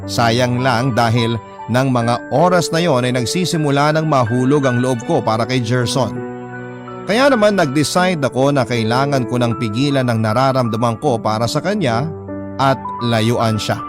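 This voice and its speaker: A sentimental, measured confessional storyteller, read by a man with a mid-range voice. It is remorseful radio drama narration over clear background music. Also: mid-range voice, natural voice. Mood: regretful, sentimental. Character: remorseful young teacher narrating a confessional love letter. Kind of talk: dramatic first-person scripted narration. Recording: music bed under voice.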